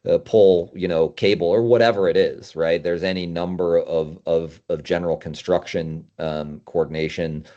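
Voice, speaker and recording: Low-pitched man hosting, slightly muffled audio. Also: steady tone, normal speed, few pauses, dark tone. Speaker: podcast host. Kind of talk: casual conversational discussion. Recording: dull, lo-fi audio.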